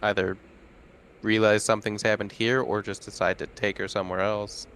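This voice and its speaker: A man's voice: on the low side, little pitch movement, normal speed, fairly bright tone, a little hiss or hum. A man playing a tabletop game as a player, with laid-back, dry replies.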